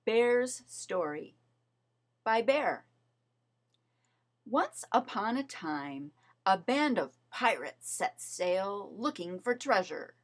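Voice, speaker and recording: A woman reading a children's story aloud as narrator, in an expressive, measured storytelling manner. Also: mid-range voice. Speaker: storybook reader.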